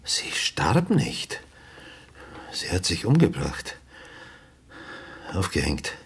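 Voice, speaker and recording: An actor playing an older widowed father, haunted and insistent in his grief, speaking haltingly and hushed in a husky, creaky voice in a radio drama. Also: low voice, huge pitch swings, full character voice. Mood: bitter, insistent. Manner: halting, hushed, often near a whisper.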